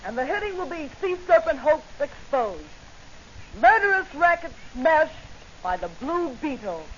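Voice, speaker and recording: An actress playing an excitable newspaper reporter in a 1940s radio serial, on a muffled, noisy old recording. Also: female voice, sits high, normal speed. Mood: admiring, excited. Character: excitable female newspaper reporter, the hero's companion. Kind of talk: 1940s radio melodrama acting.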